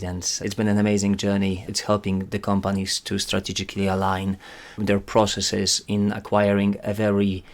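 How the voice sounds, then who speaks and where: Polish-accented man, very deep and flat. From Poland. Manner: measured, earnest, slightly formal phrasing. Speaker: guest, climate recruitment director. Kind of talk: interview answers.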